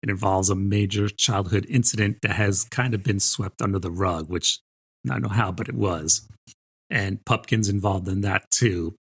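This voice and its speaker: Male book podcast host, conversational reviewer, slightly deep and husky. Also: steady tone, normal speed, a bit bright.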